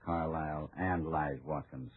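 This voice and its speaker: A muffled old radio recording carries a steely American cowboy lawman. His voice is very low, and he speaks with grave, measured firmness. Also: fairly even intonation, a crowd in the background. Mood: grave, regretful. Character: calm, steely cowboy lawman hero of a western. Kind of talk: 1950s American radio western acting.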